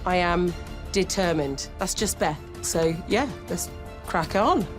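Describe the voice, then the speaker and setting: A woman competing in a cooking contest, chatty and lively as she explains her dish. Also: fairly low pitch, takes it slowly. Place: TV studio kitchen. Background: background music.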